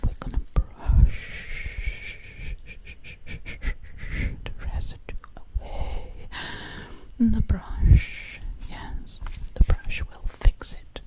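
A woman doing ASMR in a breathy whisper, very close to the mic, soothingly repeating words over and over. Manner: slow, soothing, hypnotic repetition of words. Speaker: ASMR video creator. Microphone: very close ear-to-ear microphone.

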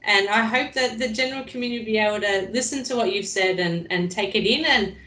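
A woman hosting an interview over a video call, asking gentle questions. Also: medium pitch, fast. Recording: echoey room on a video call.

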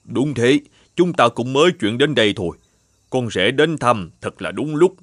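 A man voicing a genial middle-aged father-in-law in an animated folktale, measured and persuasive. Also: medium pitch, no echo, clean studio sound. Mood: welcoming. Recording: clear music under the voice.

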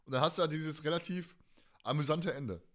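A man's voice: fairly high pitch, a little fast. Man hosting a casual fan podcast. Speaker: podcast host.

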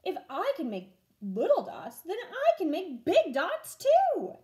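A woman's voice: very high voice, huge pitch swings, slow pace, mellow, voice pushed up, exaggerated voice. A woman voicing a discouraged, stubborn little schoolgirl in a storybook, sulky and emphatic. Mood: determined. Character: discouraged, stubborn little schoolgirl who doubts her drawing.

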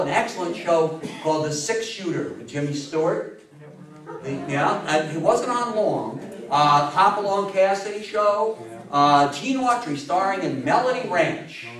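Older man in his 60s, lecturer, raised pitch, enthusiastic, exclamatory, recorded from a distance, audience noise. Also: animated, unhurried, fairly bright tone. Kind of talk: animated informal talk to an audience. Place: indoor meeting room before a seated audience. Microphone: distant camera mic. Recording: echoey room, distant mic, audience noise.

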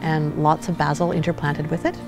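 An older woman, an urban farmer, talks in an earnest, relaxed way with fillers in an outdoor documentary interview. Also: low voice, a little fast. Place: outdoors on a farm. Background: guitar music.

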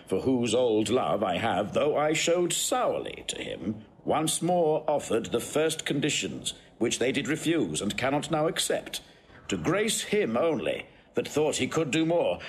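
A slow, proud Roman general. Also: male voice, medium pitch. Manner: declamatory, grave, impassioned. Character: proud, vengeful Roman general torn by family love. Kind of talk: classical Shakespearean audio drama acting. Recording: faint background noise.

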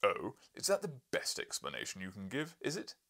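A man's voice: fairly low pitch, huge pitch swings, fairly quick, sharp tone, full character voice. A man voicing a blustering, pompous, irascible professor in an audiobook, bellowing with scornful emphasis.

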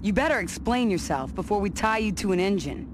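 A woman's voice: mid-range voice, lively intonation, fairly quick, noisy background, lightly acted. A woman playing a defiant military officer in a video game, curt, sarcastic and commanding. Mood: hostile, skeptical. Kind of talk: video game cutscene acting.

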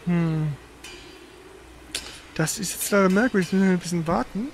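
A man's voice: sits high, normal speed, low-quality recording. A man streaming a video game, thinking aloud in casual, informal live commentary. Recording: faint background noise.

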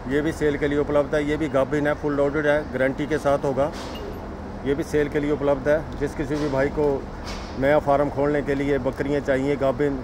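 A man from Himachal Pradesh walks through his goat farm and shows the animals one by one. He speaks in a fairly high, monotone voice, going over the same selling lines again and again. Clear outdoor noise from passing vehicles runs under the recording. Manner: repetitive sales pitch, addresses viewers as friends. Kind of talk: walk-around sales video.

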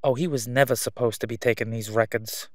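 Casting: male actor as menacing mob boss for audio roleplay, oily, smug, mid-pitched, very dry close sound. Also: steady tone, darkish tone, no echo, natural voice. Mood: dismissive, scheming. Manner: oily, taunting, drawn-out delivery with stalling pauses. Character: sleazy, menacing Gotham crime boss, a man, smugly haggling. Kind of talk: solo audio roleplay acting.

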